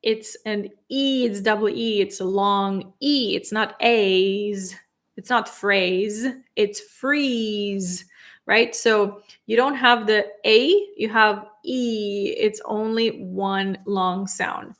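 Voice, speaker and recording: A woman in her thirties from Moldova, a Romanian speaker, teaching English pronunciation on a live stream, patiently repeating words slowly and chatting casually. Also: mid-range voice. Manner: relaxed and chatty with fillers.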